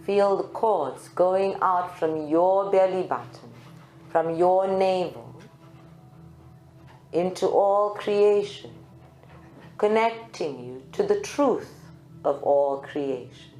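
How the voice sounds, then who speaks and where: A woman guides a spiritual meditation in a lowish voice. She speaks very slowly, placing short phrases deliberately and leaving long pauses between them. The live recording is echoey, with soft music faintly behind her. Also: animated. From South Africa. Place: group meditation room at a centre.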